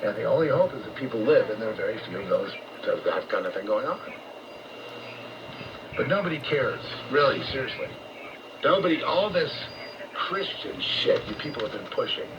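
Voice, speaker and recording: An American man preaching on shortwave radio in a blunt, confrontational, profane rant, halting and repeating himself. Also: mid-range voice, lively intonation. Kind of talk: unscripted ranting sermon. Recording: noisy muffled shortwave radio broadcast.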